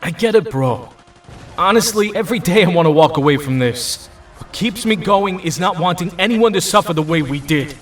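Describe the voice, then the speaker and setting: A man's voice: high voice, expressive, normal speed, distant mic. A man playing a steadfast, battle-weary young team leader in an audio drama, speaking earnestly. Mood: earnest, resolute.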